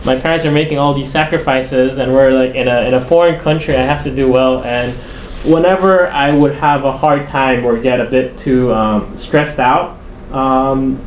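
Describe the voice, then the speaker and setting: A young man, a university student who grew up in the Philippines, co-hosting a podcast and talking in a chatty, lively way with laughs. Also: medium pitch, normal speed, echoey room, a little hiss or hum, low-quality recording. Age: young adult. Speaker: podcast co-host. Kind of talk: casual chat.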